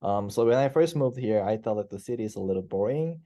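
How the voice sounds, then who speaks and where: Low-voiced young Korean man on a call. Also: animated, fast, dark tone. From South Korea. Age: 20s. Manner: hesitant, many fillers and restarts, laughs easily. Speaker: PhD student guest. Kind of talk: casual interview chat. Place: office, indoors. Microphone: headset on video call.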